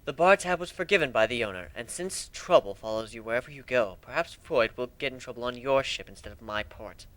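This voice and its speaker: A man's voice: mid-range voice, expressive, a little fast, hardly any pauses, fairly bright tone, rough recording. A man giving an amateur dramatic reading as the narrator of a fan fiction story.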